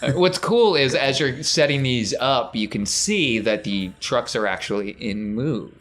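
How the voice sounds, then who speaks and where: A man's voice: medium pitch, lively intonation, unhurried, fairly bright tone, a little hiss or hum. A man working as game master, casually improvising scene narration for a tabletop game.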